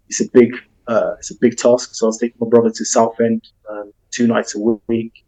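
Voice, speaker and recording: A man with a South London accent talks through his career over a video call, his delivery almost entirely flat and monotone. His voice is dark and mellow, and he rambles along easily with plenty of ums and you-knows. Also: medium pitch, poor audio. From England. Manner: relaxed, rambling, many "um" and "you know". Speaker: football agent. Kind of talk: casual interview answers.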